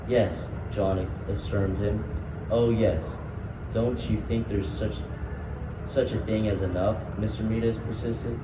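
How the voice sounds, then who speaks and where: A man's voice: lowish voice, steady tone, takes it slowly. A young man reading a children's book aloud in a plain way, now and then stumbling over a word. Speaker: volunteer story reader. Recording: noisy, roomy, distant microphone.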